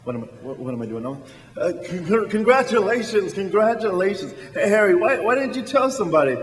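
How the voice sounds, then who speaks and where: An actor playing a flustered, good-hearted small-town young man in a radio drama, stammering and halting. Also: high voice, big pitch swings. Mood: flustered, subdued. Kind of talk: stage-style radio drama acting. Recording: hall reverb, music behind.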